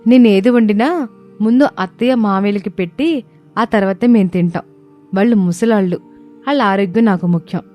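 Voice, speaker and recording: A dutiful young daughter-in-law, acted by a woman, speaks firmly and earnestly in a storybook family tale. Her voice is medium-pitched, over soft music. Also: close to an everyday voice. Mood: indignant.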